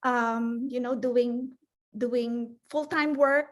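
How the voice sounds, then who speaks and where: A woman's voice: fairly high pitch, steady tone, extremely slow. A woman from the Philippines, likely in her forties, giving a conference talk as a librarian, hesitant with many fillers and restarts. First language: Filipino (Tagalog). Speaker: librarian presenter.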